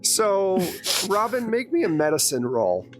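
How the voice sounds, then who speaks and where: A man's voice: high voice, very animated, measured pace. A man running a tabletop roleplaying game, narrating in a conversational, improvised way. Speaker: game master.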